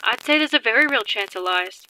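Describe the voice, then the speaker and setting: A woman's voice: fairly high pitch, animated, brisk, sharp tone, rough recording. A woman playing a formal, precise radio field reporter in a radio drama. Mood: composed, businesslike. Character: composed female troll field reporter, gradually unnerved.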